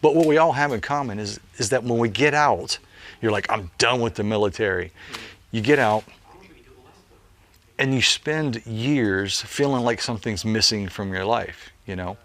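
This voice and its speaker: A man's voice: on the low side, normal speed, fairly bright tone. Earnest man in his late forties. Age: late 40s. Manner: earnest storytelling, frequent "you know" fillers. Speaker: military parachute jumpmaster. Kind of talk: casual interview answer.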